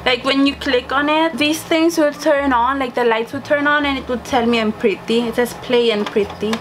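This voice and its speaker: Young female vlogger, very high voice, casual and playful, clear background noise. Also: steady tone, moderate pace. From Sweden. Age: young adult. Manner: playful, exclamatory, slangy. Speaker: vlogger showing childhood belongings. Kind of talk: casual chat to camera.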